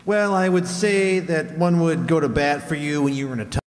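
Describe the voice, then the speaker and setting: A man's voice: a little high, steady tone, brisk, natural voice. Man playing a hesitant contestant, echoey hall. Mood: polite, hesitant. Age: 40s. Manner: terse, hesitant replies. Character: reticent forty-year-old male bachelor contestant, polite, hesitant. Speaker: game show contestant.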